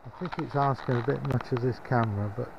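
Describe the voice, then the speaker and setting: A gravelly-voiced man chats his way along a clifftop, pointing things out as he walks. The camera audio is dull and muffled, and steady wind noise runs under every word. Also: mid-range voice, fast talker. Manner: chatty, points out sights as he walks. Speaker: vlogger filming a coastal walk. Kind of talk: casual running commentary. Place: outdoors on a windy clifftop. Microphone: handheld action camera.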